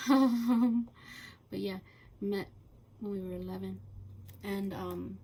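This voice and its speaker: A woman chatting casually and rambling while coloring, halting often with fillers and laughing between thoughts. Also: mid-range voice, steady tone, warm tone, a little hiss or hum. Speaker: channel host.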